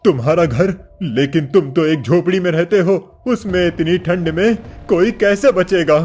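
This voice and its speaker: A man's voice: sits high, moderate pace, darkish tone, breathy edge. A man voicing an anxious, doubting villager in a cartoon story, agitated and declaiming. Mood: doubtful. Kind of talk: cartoon story character acting. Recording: music behind the voice.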